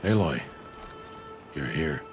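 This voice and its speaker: Stern, stoic male hunter-mentor, game drama, deep and measured, formal. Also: expressive, exaggerated voice. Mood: grave, guarded. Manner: grave, measured, formal speech. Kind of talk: dramatic video game acting. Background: music.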